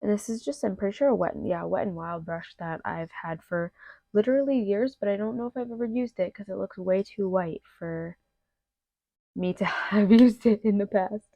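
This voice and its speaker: A woman's voice: mid-range voice, moderate pace, gravelly, mellow, no echo. Young woman doing a makeup tutorial, kinda nasal from allergies, says like a lot, slightly muffled dry audio. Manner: chatty, lots of "like" fillers. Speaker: beauty vlogger. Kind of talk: casual makeup tutorial chat.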